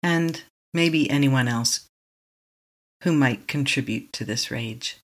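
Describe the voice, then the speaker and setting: Calm, slow meditation guide. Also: medium pitch. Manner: calm, slow, repeating affirmations to be echoed back.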